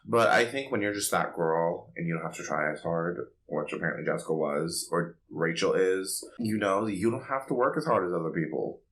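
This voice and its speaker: A man's voice: deep voice, animated, normal speed, few pauses, a bit bright, low-quality recording. A man co-hosting a casual pop culture podcast, chatty and opinionated, peppering his talk with "like". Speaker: podcast co-host.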